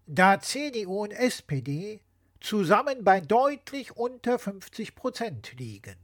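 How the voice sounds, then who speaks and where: A woman's voice: mid-range voice, big pitch swings, unhurried. A woman co-presenting a scripted explainer dialogue.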